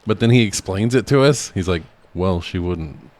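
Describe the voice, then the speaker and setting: A man's voice: sits low, expressive, moderate pace, darkish tone. A man co-hosting a podcast, casually discussing a movie in a profane, exasperated manner. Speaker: podcast co-host.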